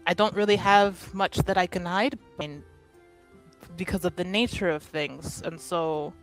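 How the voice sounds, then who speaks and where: A woman's voice: lowish voice, fairly even intonation, unhurried, soft background music, close to an everyday voice, poor audio. A woman playing a well-dressed young noblewoman in a tabletop game, snobbish yet earnest and polite, talking in a chatty, rambling way. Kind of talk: improvised in-character tabletop roleplay.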